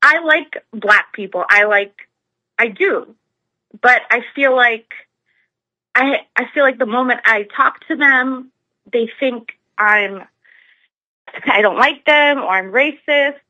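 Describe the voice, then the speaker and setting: A woman's voice: fairly high pitch, takes it slowly, long pauses, sharp tone, no room sound, dull audio. An American woman calling in to a talk show, speaking hesitantly with many fillers and restarts. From United States. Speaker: caller. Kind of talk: phone-in call.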